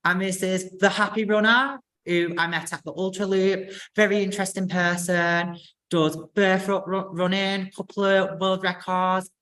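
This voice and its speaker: A woman's voice: medium pitch, flat delivery, normal speed, a bit bright. A woman, hosting a casual live interview in an informal, hesitant, rambling way. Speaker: host of a running channel.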